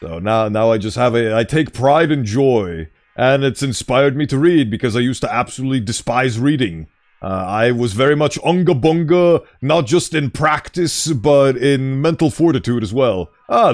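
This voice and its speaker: A man with a smooth voice streaming a game, chatting casually and playfully reading game text aloud in a mock-formal tone. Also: mid-range voice, animated, normal speed.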